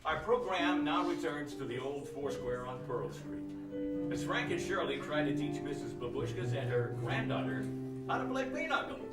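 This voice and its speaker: A man with a high voice announces in old-time radio style. Loud guitar plays behind him, and the stage sound is roomy. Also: animated, normal speed, far from the mic.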